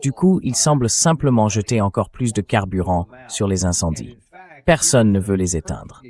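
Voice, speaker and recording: Conversational male host, slightly low voice. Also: expressive, moderate pace, dark tone. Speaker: interview host. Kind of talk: dubbed conversational interview.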